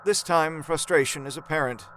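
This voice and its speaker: A man's voice: a little high, normal speed, a bit bright, slight background noise, natural voice. A man narrating a horror audio drama in a dramatic storytelling style.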